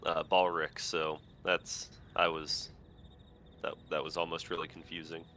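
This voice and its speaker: A man playing a tabletop game, talking casually and haltingly with many fillers. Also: deep voice, flat delivery, sharp tone, slight background noise. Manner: hesitant, halting with "uh" and "kinda". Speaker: player.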